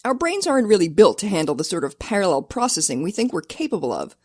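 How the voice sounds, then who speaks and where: Lively woman narrating, slightly husky. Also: medium pitch, fast, no room sound, studio quality. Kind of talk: scripted science narration.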